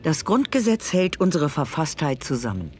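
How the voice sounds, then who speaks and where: Oracular female chorus voice, deep, declarative, solemn, animated intonation, experimental radio drama. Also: moderate pace. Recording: faint ambient noise.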